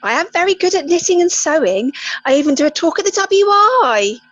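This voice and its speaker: Very high-voiced homely older lady. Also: very animated, a little fast, fairly bright tone, muffled recording, faint music behind, exaggerated voice. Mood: keen, chatty. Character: chatty, homely older lady, proud knitter. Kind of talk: amateur comedy radio play.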